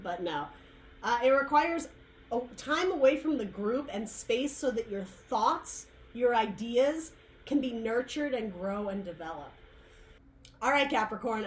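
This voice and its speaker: A woman giving a casual tarot reading, her throat dry and her voice going, rambling and searching for words. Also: medium pitch. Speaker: tarot reader.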